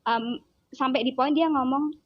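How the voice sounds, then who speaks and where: Lively Javanese-accented woman telling a story. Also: sits high, a little slow, highs cut off. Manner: animated storyteller who acts out her child's words. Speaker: child psychologist guest. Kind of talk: casual interview chat.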